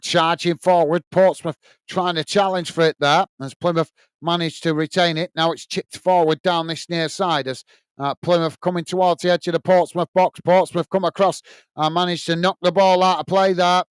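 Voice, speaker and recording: A man's voice: on the high side, fairly even intonation, normal speed, clean tone, dry recording. A man with a strong Lancashire accent hosting a live football watch-along, calling the play and reading out viewer comments in a chatty way with lots of "uh"s. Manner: chatty, reads out chat messages with filler "uh".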